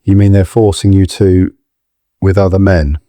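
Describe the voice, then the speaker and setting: A man's voice: sits low, steady tone, moderate pace, dark tone, dry recording, clean studio sound, close to an everyday voice. An actor playing an ordinary suburban man, shaken and concerned, asking disbelieving questions in an audio drama. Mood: appalled.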